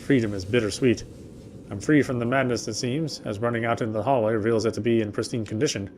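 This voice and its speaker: A man's voice: mid-range voice, steady tone, fast, darkish tone, slight background noise. A man narrating a visual novel aloud in a dramatic storytelling style as he plays. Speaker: gamer reading the game text aloud. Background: faint game music.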